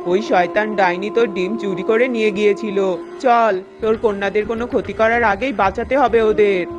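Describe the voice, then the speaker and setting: A woman's voice: medium pitch, steady tone, normal speed, over music, lightly acted. Woman playing a muffled, scolding wise sorcerer. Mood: knowing, urgent. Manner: knowing, admonishing. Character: wise village tantric sorcerer, scolding yet helpful. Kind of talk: Bengali fairy-tale cartoon voice acting. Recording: dull, muffled lo-fi sound.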